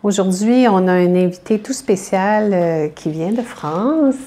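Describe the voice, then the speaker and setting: Woman, Québécois accent, talk show host, mellow tone, short encouraging questions. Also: medium pitch, unhurried. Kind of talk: conversational interview.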